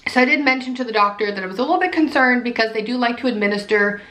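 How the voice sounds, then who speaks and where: Woman, young adult, vlogger, bubbly chatty delivery, raspy nasal sick voice, high pitch. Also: rapid-fire, fairly bright tone, rough recording. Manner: bubbly, chatty, repeats "super". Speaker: pregnancy vlogger. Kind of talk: casual chat to camera.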